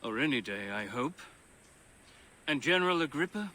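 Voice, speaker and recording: Expressive, bright-voiced Roman architect. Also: male voice, medium pitch, moderate pace. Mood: grave. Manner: theatrical, eloquent, formal. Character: earnest, educated Roman male architect, past his youth, conscientious. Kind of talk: video game cutscene dialogue.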